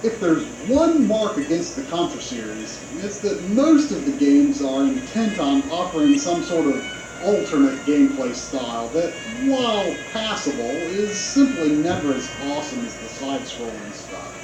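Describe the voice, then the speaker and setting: Lively male game reviewer over music. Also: a little high, normal speed. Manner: conversational, opinionated. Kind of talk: scripted review narration with casual asides. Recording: roomy, played back with game music behind.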